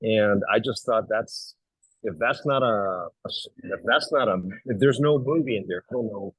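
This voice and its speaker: Middle-aged man from Hong Kong, actor guest, husky and low, bone-dry close sound, chatty with plenty of restarts. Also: moderate pace, dry recording, poor audio. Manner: animated storyteller, many fillers and restarts. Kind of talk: casual interview.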